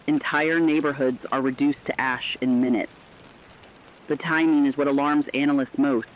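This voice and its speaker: A man's voice: mid-range voice, fairly even intonation, moderate pace, muffled recording, slight background noise, lightly acted. A man acting as a radio correspondent, reading scripted dramatic news in a solemn, earnest, rhetorical manner. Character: solemn radio correspondent reporting human survival amid apocalypse.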